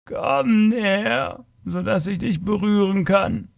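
A man voicing an ancient, blind, tortured alien creature in a story, gentle and sorrowful, with a halting, lamenting delivery. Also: high voice, gravelly, voice pushed up, full character voice. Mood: hesitant, sighing.

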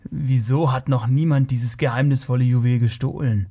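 A man's voice: medium pitch, steady tone, moderate pace, mellow, close to an everyday voice. A man voicing a blunt, naive and proud young barbarian in a fantasy audiobook, speaking in a curt, earnest way. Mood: curious.

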